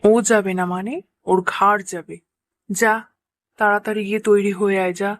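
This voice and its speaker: A woman voicing an exasperated, scolding village mother in a cartoon, sharp-tongued and full of indignant questions. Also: medium pitch, flat delivery, moderate pace, dry recording, lightly acted. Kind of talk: Bengali cartoon voice acting.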